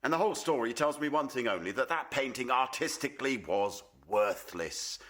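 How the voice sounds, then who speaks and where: A man's voice: medium pitch, lively intonation, normal speed, a bit bright, a little hiss or hum, lightly acted. An actor playing a proud, troubled middle-aged painter and father in a radio play, emphatic and dismissive in manner. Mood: scornful, lofty.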